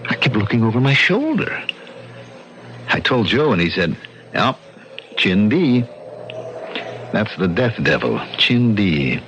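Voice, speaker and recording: An actor playing an earnest, superstitious husband full of foreboding in an old-time radio drama. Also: male voice, lowish voice, sing-song, takes it slowly, pauses often, a bit bright, soft background music, heavily acted. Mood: uneasy, brooding. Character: spooked, superstitious husband on a desert ranch. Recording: noisy, dull old radio recording.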